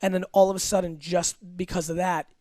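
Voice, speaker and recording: High-pitched, emphatic man talking business. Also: flat delivery, dark tone, no room sound, studio quality. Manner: emphatic, blunt, rapid-fire with profanity. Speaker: entrepreneur guest. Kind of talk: podcast interview.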